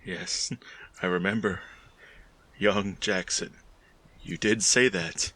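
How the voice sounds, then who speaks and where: A man's voice: low voice, fairly even intonation, slow pace, pushed and bright, full character voice. A man playing a tormented, obsessive chemist who broods and repeats his words in a 1940s-style radio horror drama. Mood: bitter recollection.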